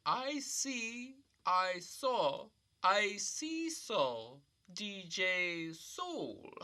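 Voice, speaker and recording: A man's voice: sits high, very animated, drawn-out words, sharp tone, exaggerated voice. An actor playing an eccentric old man who rambles in sing-song rhyming nonsense in a comedy radio play. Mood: dreamy, rhyming. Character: eccentric old male riddling imposter, rambling.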